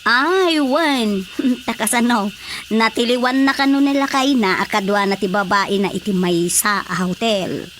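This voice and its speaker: A high-pitched, chatty village council woman who loves gossip and teasing. She talks with a Filipino accent in radio drama comedy style, over faint background noise. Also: a little slow, fairly bright tone. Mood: gossipy. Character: gossipy, giggly female village council colleague.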